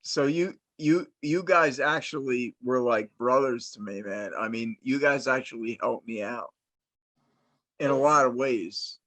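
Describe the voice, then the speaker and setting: Slow, rambling middle-aged man from New Jersey. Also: mid-range voice, a bit bright, no echo. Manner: rambling, many restarts and fillers. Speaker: host, interviewer. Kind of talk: casual interview chat, long storytelling.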